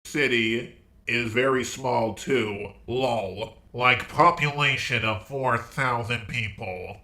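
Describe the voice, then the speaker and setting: A man reads chat messages aloud at a slow pace. His tone is extremely bright and sharp, and the sound is noticeably roomy. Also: mid-range voice.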